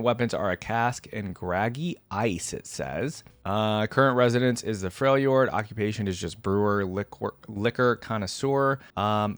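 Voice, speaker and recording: A man's voice: mid-range voice, no echo. Man in casual commentary, dry close sound. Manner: conversational, musing asides. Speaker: reaction video host. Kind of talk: casual reaction commentary, reading aloud with asides.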